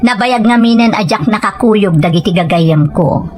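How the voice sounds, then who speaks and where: A woman playing a dutiful, modest wife and mother, gentle and a little hesitant, in an Ilocano audio drama. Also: medium pitch, normal speed, soft background music, lightly acted. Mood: regretful narrating.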